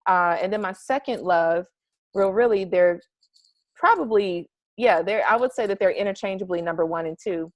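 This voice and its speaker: An upbeat young woman from the United States answers interview questions at length, trailing into fillers as she thinks. Her voice sits in a middle pitch. The sound is dry and close, without room echo. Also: normal speed. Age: young adult. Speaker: interview guest, marketing strategist. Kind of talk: casual interview over a call. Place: home garage.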